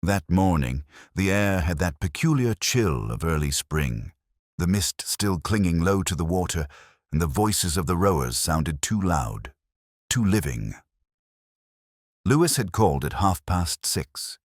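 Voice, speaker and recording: A man playing a brooding, literary police detective, narrating a mystery in a measured, reflective, melancholy manner. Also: low voice, big pitch swings, no echo, clean studio sound, full character voice. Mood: somber, reflective. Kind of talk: slow scripted first-person mystery narration.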